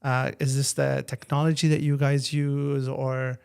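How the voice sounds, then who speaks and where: A man hosts the interview with a gravelly, flat-toned voice. He asks his questions in a rambling, halting way. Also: medium pitch. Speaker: interview host.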